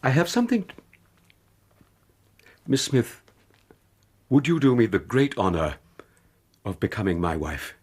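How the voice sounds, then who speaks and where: An actor playing a polite, earnest suitor in a radio drama, formal and insistent in manner, with a husky voice. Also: male voice, mid-range voice, very animated, measured pace, long pauses, darkish tone. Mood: tender.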